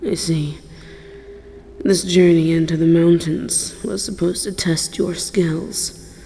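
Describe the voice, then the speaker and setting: Slow, gravelly, world-weary dragon, acted by a woman. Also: lowish voice, fairly even intonation, dark tone, slight background noise. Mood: grudgingly grateful. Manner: measured, solemn, formal speech. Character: aloof, wounded, world-weary dragon, guarded yet wise. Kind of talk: slow dramatic roleplay audio monologue.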